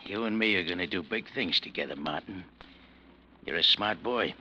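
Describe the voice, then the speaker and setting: A man's voice: deep voice, normal speed, sharp tone, a little hiss or hum, rough recording. An actor playing a smug, menacing gangster in an old radio crime drama, with slangy tough-guy speech. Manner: slangy tough-guy drawl, veiled threats. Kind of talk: 1940s-50s radio crime drama acting.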